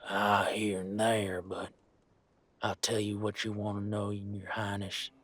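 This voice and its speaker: A rough mercenary brigand, played by a man, low and husky with an unhurried delivery. He is laconic, folksy and cagey, stubbornly casual, a shady character from a fantasy roleplay. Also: monotone, fairly bright tone. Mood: wary confession. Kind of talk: fantasy roleplay audio drama.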